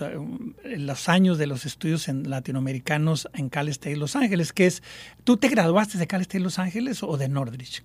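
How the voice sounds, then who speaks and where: Higher-voiced Mexican man hosting radio. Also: dry recording. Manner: warm rambling with many fillers. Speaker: radio host. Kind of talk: casual radio interview.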